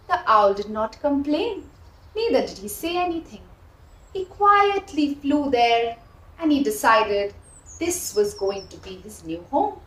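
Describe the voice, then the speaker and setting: A woman telling a children's story in an expressive, deliberate way, addressing young listeners directly. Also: high-pitched, reverberant, rough recording. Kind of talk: animated scripted storytelling for children.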